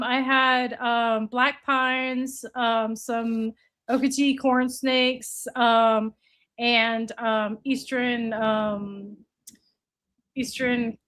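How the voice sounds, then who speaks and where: A woman's voice: a little high, a bit bright. A woman in her late forties with an American accent, chatting casually as an interview guest. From United States (Virginia, earlier Florida). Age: late 40s. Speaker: podcast guest, reptile breeder.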